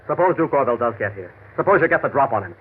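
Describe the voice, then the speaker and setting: An actor playing a bitter, hostile American country tough in an old radio mystery, sarcastic and slangy. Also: male voice, medium pitch, lively intonation, rapid-fire, husky. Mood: bitter, sneering. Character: bitter hostile rural tough man with a gun. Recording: muffled, tinny old radio recording.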